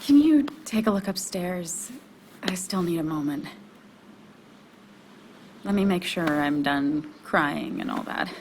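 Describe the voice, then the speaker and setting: An actress playing an emotionally raw but determined young woman in a video game, speaking in a halting, confessional way. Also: lowish voice, big pitch swings, darkish tone, a little hiss or hum, heavily acted. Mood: subdued. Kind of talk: naturalistic video game acting.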